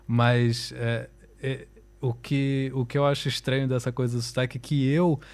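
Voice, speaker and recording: A Brazilian man from Rio de Janeiro with a softened Carioca accent, a musician chatting as a guest and laughing easily. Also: mid-range voice, flat delivery, moderate pace. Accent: softened Carioca Brazilian Portuguese. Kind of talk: relaxed interview chat.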